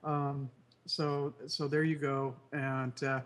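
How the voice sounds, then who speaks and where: A co-host of a casual online news chat show, slightly nasal and croaky from a cold, rambling with many fillers. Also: male voice, medium pitch, level tone, takes it slowly, fairly bright tone. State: congested, recovering from flu.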